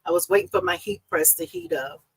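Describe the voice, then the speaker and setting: Hoarse, chatty woman hosting a live stream. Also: medium pitch, very animated, brisk, dry recording, poor audio. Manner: chatty and upbeat, greets viewers by name. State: slightly hoarse, losing her voice. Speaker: host and embroidery business owner. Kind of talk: casual live-stream chat. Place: home craft room. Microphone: live-stream setup.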